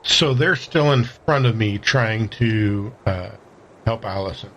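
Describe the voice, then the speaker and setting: A man's voice: on the low side, slow pace, fairly bright tone, a little hiss or hum. A man plays a tabletop game, talking casually and working out his moves aloud in a tentative way. The recording is a little dull and muffled.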